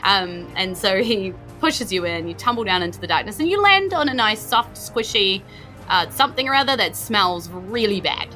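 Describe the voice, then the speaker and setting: A woman's voice: medium pitch, lively intonation, normal speed, pushed and bright, soft background music. A woman with a New Zealand accent casually narrating a tabletop game as game master, playful in manner.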